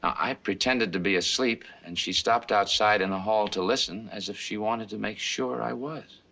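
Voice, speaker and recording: Low, bitter son. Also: male voice, extremely slow, gravelly, bright, forceful tone, heavily acted. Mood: wary, insistent. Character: bitter, suspicious grown son in his thirties. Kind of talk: stage play acting.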